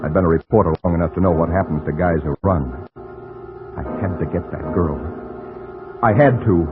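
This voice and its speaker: An American actor playing a desperate newspaper reporter, narrating in urgent, hard-boiled radio noir style. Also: male voice, deep voice, normal speed, natural voice. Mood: tense, haunted. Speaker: lead actor and first-person narrator. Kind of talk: vintage radio noir acting. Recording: dull old radio broadcast with music.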